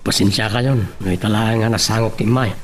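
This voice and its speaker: A man's voice: fairly low pitch, fairly even intonation, fairly quick, close to an everyday voice. An actor with an Ilocano accent playing a worried, respectful grown man in an audio drama. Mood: apologetic, polite. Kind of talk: Ilocano radio-style drama acting.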